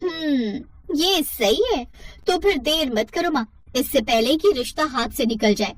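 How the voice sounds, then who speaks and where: A woman's voice: high voice, animated, unhurried, dry recording. A woman voicing a spiteful, jealous teenage stepsister with a whiny, petty manner in a cartoon moral story. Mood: scheming.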